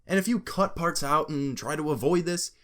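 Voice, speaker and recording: Male video essayist, slightly high voice, emphatic and informal, sarcastic edge, scripted opinion delivery. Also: moderate pace, a bit bright.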